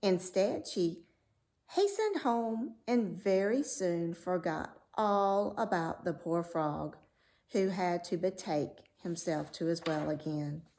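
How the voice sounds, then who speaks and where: A woman reading a fairy tale aloud as a storyteller, with measured, dramatic delivery. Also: lowish voice, a little mellow.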